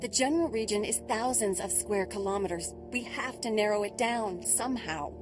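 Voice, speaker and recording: A woman's voice: medium pitch, normal speed, music in the background, close to an everyday voice. Earnest woman scientist played by an actress. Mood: urgent. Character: compassionate, earnest female research scientist. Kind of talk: video game cutscene acting.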